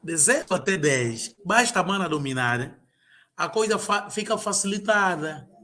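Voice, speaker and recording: A man's voice: high voice, expressive, normal speed, sharp tone. A man tutoring a student in an informal lesson, patiently repeating and drilling words. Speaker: language tutor.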